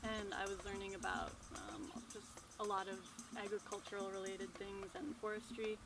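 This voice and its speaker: A woman's voice: medium pitch, normal speed, vocal fry. Young American woman with music behind her. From Montana, United States. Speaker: Peace Corps volunteer. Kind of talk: casual interview testimonial.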